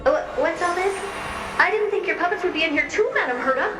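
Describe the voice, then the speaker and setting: An actress voicing a sentient mirror in a video game, a squeamish, timid but cheeky sidekick with an excitable, expressive delivery and an echoing effect. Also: female voice, very high-pitched, brisk. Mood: curious. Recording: echoey, distant, loud music behind.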